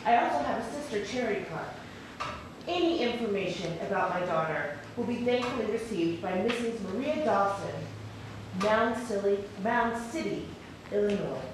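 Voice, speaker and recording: A woman's voice: mid-range voice, animated, normal speed, lightly acted. A woman performing a dramatic reading of a historical ad as a formal freed mother seeking her daughter. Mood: formal, hopeful. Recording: distant echoey hall, clear noise.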